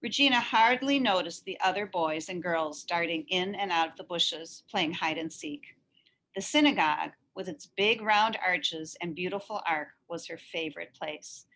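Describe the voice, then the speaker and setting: A woman reading a children's story aloud in an expressive, animated narrating style. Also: fairly low pitch, normal speed, low-quality recording. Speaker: story reader.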